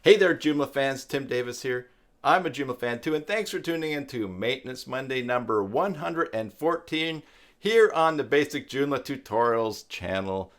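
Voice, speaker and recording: A man's voice: mid-range voice, lively intonation, moderate pace. Man in his fifties, casual tutorial host. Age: 50s. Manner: conversational, rambling with asides. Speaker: host of a tutorial live stream. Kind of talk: casual live-stream tutorial talk.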